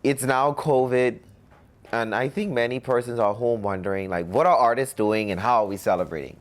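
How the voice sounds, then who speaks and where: A man's voice: medium pitch, normal speed. A young man from the Bahamas, a musician answering interview questions in a cocky, self-promoting way.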